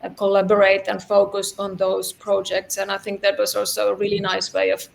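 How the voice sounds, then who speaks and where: A woman's voice: mid-range voice, monotone, brisk, gravelly, a little hiss or hum, poor audio. A woman hosting a podcast interview, affirming her guest and asking questions with frequent restarts. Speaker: podcast host.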